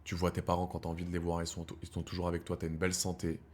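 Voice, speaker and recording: A man's voice: low-pitched, completely flat delivery, rapid-fire, a little hiss or hum. A man in his thirties chatting casually to his live-stream viewers, slangy and rambling, calling them his brothers. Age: 30s. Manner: swings from heated rants to quiet musing. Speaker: streamer host.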